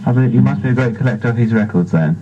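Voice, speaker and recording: A teenage boy politely interviewing someone in a formal, halting way, heard from an old seventies tape. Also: medium pitch, dark tone. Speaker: amateur interviewer. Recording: dull 1970s reel tape played back.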